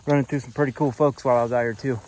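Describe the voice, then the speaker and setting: A man from Florida, vlogging casually while hiking, out of breath and a little hoarse, recorded on a handheld camera outdoors. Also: medium pitch, steady tone, rapid-fire, a little mellow, rough recording. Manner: breathing hard, winded while hiking uphill. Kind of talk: casual vlog narration to camera. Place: outdoors on a forest hiking trail.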